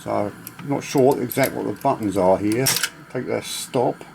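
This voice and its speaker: A man giving a casual, unscripted hands-on demonstration, speaking haltingly as he thinks aloud. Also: on the low side, gravelly, background noise. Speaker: vintage audio equipment collector.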